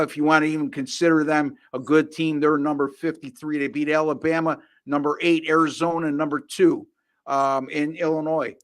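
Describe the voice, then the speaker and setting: A man's voice: mid-range voice, a bit bright. A man hosting a live sports betting show, joking and rattling off rankings and stats in casual chat. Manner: joking, rapid-fire stat rundowns.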